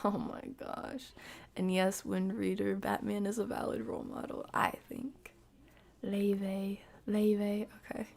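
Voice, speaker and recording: Slow-paced, slangy woman streamer. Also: mid-range voice, completely flat delivery, gravelly, darkish tone. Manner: slangy, playful, drawn-out delivery. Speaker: streamer reacting to a video with live chat. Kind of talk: casual livestream commentary, chatting with viewers.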